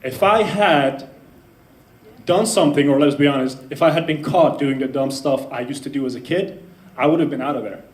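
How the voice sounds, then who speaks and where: A man's voice: medium pitch, moderate pace, reverberant. A man in his twenties from the Netherlands making an earnest point in a debate, apologising for his language. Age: 20s. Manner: earnest, apologetic about swearing. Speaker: audience speaker at a debate. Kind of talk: public debate speech.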